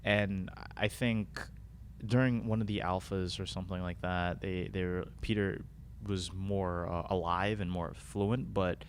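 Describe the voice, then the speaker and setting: Deep-voiced, halting young man. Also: fairly even intonation, slight background noise. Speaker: podcast co-host. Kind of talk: casual chat about games and films.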